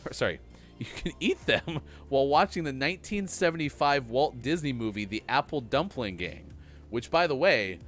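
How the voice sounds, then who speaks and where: A man's voice: mid-range voice, a bit bright. Upbeat man hosting a stream. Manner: upbeat, reads daily trivia, laughs often. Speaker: host. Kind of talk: casual chat on a morning gaming stream. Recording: faint music behind.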